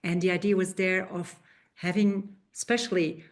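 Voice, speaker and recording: A woman's voice: on the low side, flat delivery, moderate pace. A woman in her fifties from Belgium, a coach telling her story in a podcast interview, friendly with small restarts. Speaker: guest, coach and speaker.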